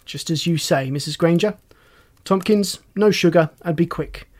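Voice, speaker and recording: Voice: medium pitch, normal speed, darkish tone, natural voice. A narrator voicing a commanding, wry male police inspector in an audiobook, folksy and teasing with pointed questions. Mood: probing, sardonic.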